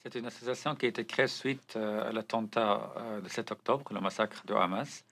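A man's voice: on the low side, steady tone, a little fast, gravelly, fairly bright tone. A man whose first language is Arabic, answering interview questions as an author, hesitant with frequent fillers and restarts.

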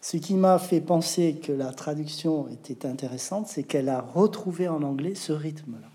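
A man who writes novels, answering interview questions in a reflective, hesitant way with many pauses. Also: on the high side, dark tone.

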